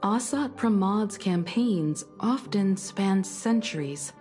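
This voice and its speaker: A woman playing a composed, caring starship navigator in a video game, speaking in a gentle, reflective, measured way like a proud mentor. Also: medium pitch, animated, faint music behind. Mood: serious. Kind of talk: video game story dialogue.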